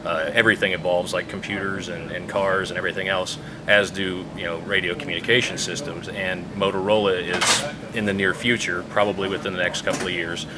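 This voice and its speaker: A man giving unscripted interview answers as an official, explaining things in a halting, measured way. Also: low-pitched, level tone, a loud crowd. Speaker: public safety radio official being interviewed.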